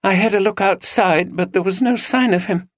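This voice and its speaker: A woman's voice: mid-range voice, normal speed, no room sound, studio quality, pitched above the performer's own voice, natural voice. A man voicing a distressed young woman witness who speaks earnestly. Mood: upset.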